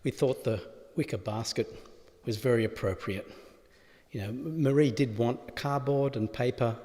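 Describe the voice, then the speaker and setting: A man giving a halting, informal thank-you speech at a funeral. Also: medium pitch, dark tone. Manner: halting, informal, with fillers and asides. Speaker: family member speaking at a funeral. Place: funeral chapel. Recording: long reverb of a large hall.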